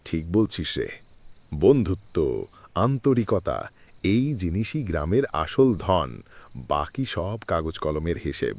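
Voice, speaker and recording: A woman's voice: extremely low pitch, big pitch swings, takes it slowly, long pauses, full character voice. A woman voicing a friendly, down-to-earth village landlord in a cartoon, lamenting in a rustic way, over background noise. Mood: sombre, friendly.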